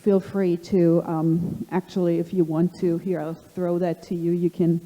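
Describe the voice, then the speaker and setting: A middle-aged woman with a slight German accent hosting a live panel, halting and full of fillers. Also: fairly low pitch, level tone, warm tone. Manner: halting, many fillers and restarts. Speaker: panel host. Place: conference hall. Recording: long hall reverb.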